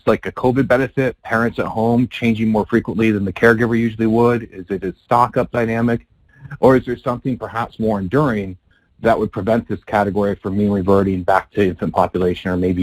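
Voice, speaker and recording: A man's voice: lowish voice, steady tone, fast talker, rough recording. A man, a financial analyst, asking friendly but probing questions on an earnings call. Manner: friendly, chatty, then probing.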